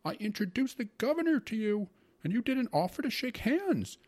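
High-pitched, peevish, pompous old-world gentleman. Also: very animated, a little slow, gravelly, breathy edge, full character voice. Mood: indignant grumbling. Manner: grumbling, complaining, dramatically emphatic. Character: peevish, self-pitying, pompous uncle, a hypochondriac government official.

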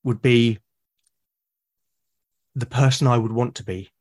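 A man from Britain, a podcast guest, talking eagerly about his characters, with lots of restarts and a slightly husky voice. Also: mid-range voice, steady tone, takes it slowly. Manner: enthusiastic, rambling with many restarts. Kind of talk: casual podcast conversation.

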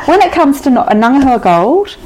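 A woman's voice: sits high, big pitch swings, a little fast, a little mellow, poor audio. A woman interviewing someone in a casual chat. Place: guest's home, indoors.

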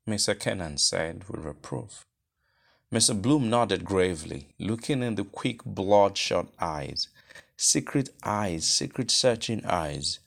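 A man with a Nigerian accent gives an expressive, deliberate reading of literary fiction. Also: on the low side, vocal fry. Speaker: guest reader, novelist. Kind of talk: expressive literary audiobook narration.

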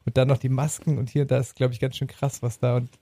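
A man in relaxed podcast conversation, with a mid-pitched voice recorded close and dry. He speaks colloquially and puts emphatic stress on what amazes him. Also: quick pace, no room sound. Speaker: podcast co-host. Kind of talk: casual chat.

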